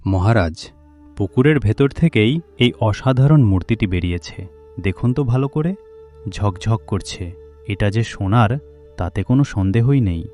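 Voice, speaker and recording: Man playing a low, mellow, humble labourer. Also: expressive, normal speed, soft background music, natural voice. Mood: awed. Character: awed male labourer presenting a treasure to king. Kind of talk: cartoon fairy-tale voice acting.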